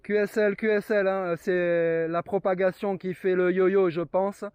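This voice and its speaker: A man's voice: high-pitched, little pitch movement, measured pace, a little mellow, smooth, dry recording. A man operating a radio, exchanging call signs and code words and repeating key phrases to confirm them.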